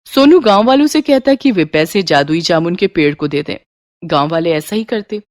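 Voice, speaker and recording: Woman storyteller, lively dry close sound. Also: fairly low pitch, no room sound. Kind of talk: scripted moral story narration.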